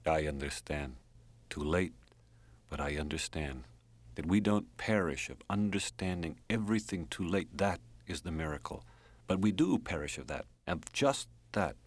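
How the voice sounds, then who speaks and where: A man's voice: a bass voice, normal speed. A man reading an audiobook as an earthy, wry American man reminiscing, speaking in clipped colloquial phrases with an American accent. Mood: rueful.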